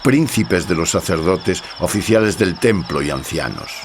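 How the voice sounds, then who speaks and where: A man narrating scripture from a script in a solemn, measured manner. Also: low voice, lively intonation, background noise.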